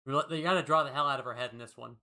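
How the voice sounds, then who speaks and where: A man's voice: mid-range voice, very fast, sharp tone. A man co-hosting a casual comic discussion, riffing playfully as he goes. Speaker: co-host. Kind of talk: casual comic commentary chat.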